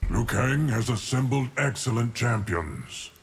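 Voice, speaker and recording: An actor playing an ancient, calm guardian of time in a video game, deep, creaky and measured, over faint noise. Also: male voice. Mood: solemn, calm. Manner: slow, measured, oracular. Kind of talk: video game character dialogue.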